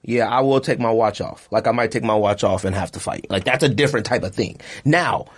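A man hosting a podcast in casual chat, slangy and animated, jumping up into high asides. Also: fairly quick, breathy edge. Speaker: podcast host.

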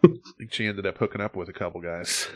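A man with a somewhat low voice hosts a podcast in loose, casual chat. He rambles from point to point, swears freely and laughs often. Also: fairly even intonation, fast talker, a little mellow. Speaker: podcast host.

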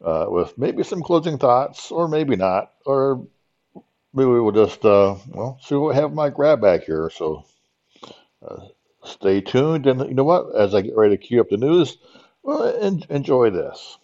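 A man's voice: medium pitch, very animated, unhurried, darkish tone. A man hosting a podcast in casual, unscripted chat, hesitant and rambling. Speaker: podcast host. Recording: slightly dull, lo-fi sound.

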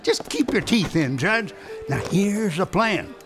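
Exuberant, high-voiced frontier townsman. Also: expressive, slow pace, over music, heavily acted. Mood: jovial, scheming. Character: exuberant folksy frontier townsman in the Old West. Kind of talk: radio drama acting.

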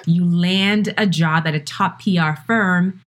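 A woman's voice: on the low side, a little slow. A woman hosting a podcast, presenting and interviewing in a conversational style.

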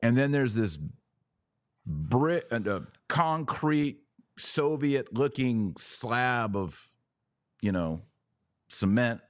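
A man's voice: medium pitch, sing-song, very slow, pauses often, no echo, studio quality. A man hosting a podcast in casual, conversational commentary with a blunt, opinionated way of talking. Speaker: podcast host.

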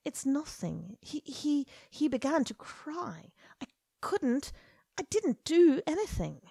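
A woman's voice: mid-range voice, lively intonation, normal speed, vocal fry, mellow, slightly rough, no room sound, studio quality. A woman reader voicing a timid, stammering young father in a storybook reading. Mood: ashamed. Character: timid young male clerk, anxious father.

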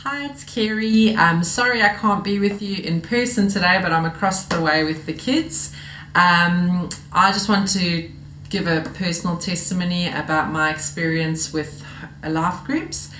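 A woman from South Africa with a South African accent, giving an earnest personal testimony in a recorded video, pausing often with "um". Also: lowish voice, a bit bright. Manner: earnest, personal, with frequent "um" pauses. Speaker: church member giving testimony. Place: room away from the congregation. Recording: echoey room, faint ambient noise.

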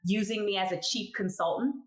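A woman's voice: mid-range voice, brisk. A woman in her thirties, a chemist guest telling stories in a casual interview, acting out the people she quotes. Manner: expressive storyteller who acts out quoted people. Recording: roomy echo.

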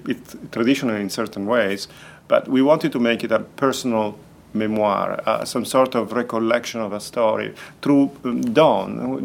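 A man's voice: medium pitch, vocal fry. A man explaining conversationally as an interview guest, repeating words as he searches for his phrasing. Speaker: documentary filmmaker, interview guest.

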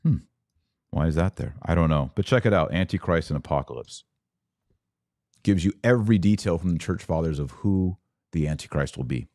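Deep-voiced American man, close dry sound. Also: expressive, moderate pace, a little mellow, no room sound. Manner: emphatic, stretching key words, with conversational asides. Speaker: podcast host. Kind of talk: religious commentary podcast, speaking off the cuff to listeners.

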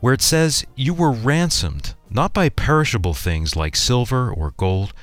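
A man's voice: mid-range voice, lively intonation, measured pace, dry recording. A man co-hosting a radio program, reading a scripted introduction as the announcer.

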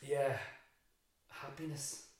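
A man's voice: medium pitch, level tone, slow pace, a little mellow. An Irish man, a secondary school teacher and interview guest, thinking aloud in a reflective, rambling way with frequent restarts. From Ireland. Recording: very echoey room.

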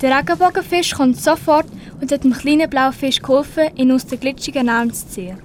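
A woman's voice: high voice, a little fast, slight background noise. A teenage girl reading scripted story narration for an amateur radio play.

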